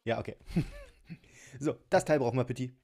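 A man's voice: mid-range voice, brisk, a little mellow. A man chatting casually and giggling often while he unpacks and builds a toy set at a table at home, poking fun at himself. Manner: chatty, giggly, self-mocking. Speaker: toy unboxing vlogger. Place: home tabletop filmed from above.

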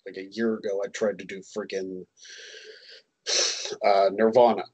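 Husky man co-hosting on a headset. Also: lowish voice, little pitch movement, moderate pace, mellow, highs cut off. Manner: rambling, self-deprecating, many ums. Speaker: co-host. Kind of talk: casual chat. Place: home room, indoors.

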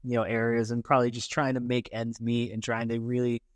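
A man's voice: mid-range voice, completely flat delivery, dry recording. A young man hosting a podcast, asking rambling questions with many fillers in an office. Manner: halting, filler-heavy questions. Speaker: podcast host. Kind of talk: casual interview. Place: bright office with a lounge set.

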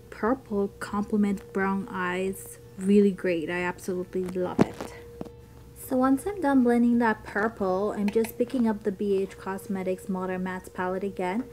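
Young woman with a childlike mellow voice. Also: mid-range voice, low-quality recording. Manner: chatty, upbeat product talk with ums. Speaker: beauty vlogger. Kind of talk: makeup tutorial voice-over.

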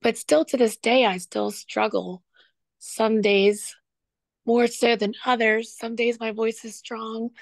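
Caller, woman, raspy, candid and chatty, dry close audio. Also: on the high side, steady tone, slow pace, no echo. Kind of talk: conversation with a show host.

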